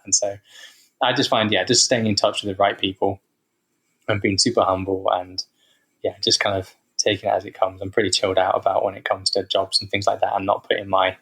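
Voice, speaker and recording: Man, low-pitched voice, podcast guest, easygoing rambling chat, lots of ums. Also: breathy edge, poor audio. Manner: relaxed, rambling, filler-heavy. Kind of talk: casual interview chat.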